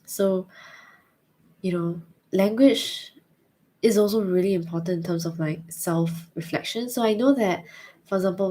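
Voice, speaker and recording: A woman's voice: fairly low pitch, a little mellow. A young woman with a Singaporean accent, thoughtfully answering viewer questions in a casual chat, hesitant with many fillers. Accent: Singaporean English.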